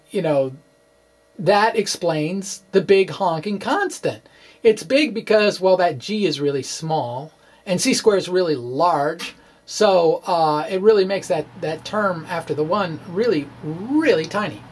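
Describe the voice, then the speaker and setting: A middle-aged man explaining physics informally to camera in a halting, conversational way with animated emphasis. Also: on the high side. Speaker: science video blogger. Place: small indoor room with bookshelves. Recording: clear steady background noise.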